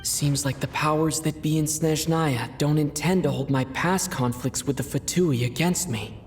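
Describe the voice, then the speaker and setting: Composed traveler, voiced by an actor; mid-range, calm, faint score. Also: medium pitch, normal speed, soft background music, natural voice. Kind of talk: video game voice acting.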